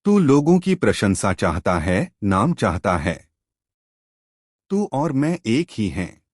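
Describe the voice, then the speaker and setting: A man's voice: lowish voice, sing-song, slow, dry recording, studio quality, heavily acted. A man voicing an arrogant, mocking phantom double of a courtier in a folk tale, sneering and accusing.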